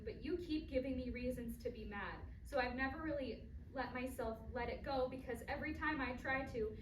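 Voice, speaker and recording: A woman's voice: high voice, close to an everyday voice. A woman playing an emphatic adult daughter with long pent-up hurt and anger in a staged play reading. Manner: emphatic, impassioned. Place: performance space, recorded from afar. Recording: echoey distant stage recording, clear noise.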